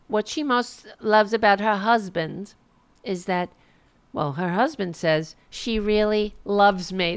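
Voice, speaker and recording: A woman unhurriedly mocking the news on her home livestream, sarcastic and quick to swear. Her voice is smooth and resonant underneath, with a gravelly fry, and she is starting to lose it. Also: mid-range voice. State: losing her voice. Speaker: political commentary host. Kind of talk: casual livestream commentary.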